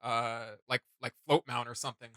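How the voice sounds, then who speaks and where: A man's voice: mid-range voice, flat delivery, moderate pace, pushed and bright, no room sound, studio quality. A man hosting a casual reaction commentary, speaking informally with slang and often searching for his words. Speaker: reaction video host.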